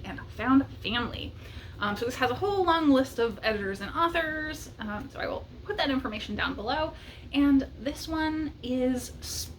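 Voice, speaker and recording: A woman's voice: on the high side, a bit bright, rough recording. A woman chatting casually and enthusiastically to camera as a book vlogger, with small giggles breaking into her talk. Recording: noticeable background noise.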